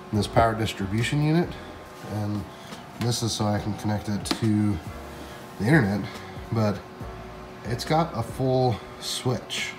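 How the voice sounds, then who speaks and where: A man in his thirties walking through his print shop, chatting excitedly as he explains a new machine to his viewers. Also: fairly low pitch. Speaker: print shop owner and vlogger. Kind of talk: casual walkthrough vlog. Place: large echoey print shop room with concrete floor. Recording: echoey room with music over it. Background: background music.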